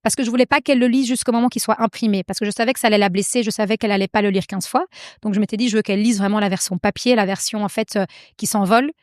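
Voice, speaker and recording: Une femme belge à l'belge, autrice invitée, qui parle de façon hésitante avec beaucoup de « euh » et de « en fait ». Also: female voice, medium pitch, fairly even intonation, very fast, darkish tone, dry recording, clean studio sound. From Belgium. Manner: hesitant, many fillers and restarts. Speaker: guest author. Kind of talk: casual interview conversation.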